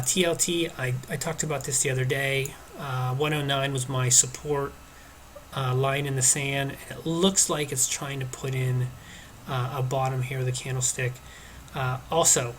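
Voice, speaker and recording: A man's voice: mid-range voice, fairly bright tone, low-quality recording. Man, stuffed-up nasal voice, market commentary, hesitant with lots of uhs, faint background hiss. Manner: hesitant, many uhs and restarts. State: stuffed up from allergies. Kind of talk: casual screen-share chart commentary.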